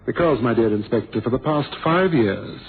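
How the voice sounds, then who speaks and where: A man acting a suave, self-assured, flippant gentleman in a radio drama, with a mocking, unruffled manner. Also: mid-range voice, lively intonation, normal speed, phone-quality sound, close to an everyday voice. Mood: amused, flippant. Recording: thin band-limited old radio.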